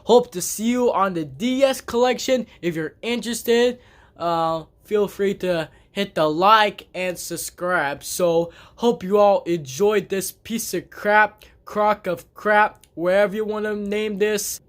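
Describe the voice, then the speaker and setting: A game collector vlogging casually about their collection, talking in a halting, rambling way with many restarts and blunt grumbles. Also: medium pitch. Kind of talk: casual collection show-and-tell vlog. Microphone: camera on a tripod.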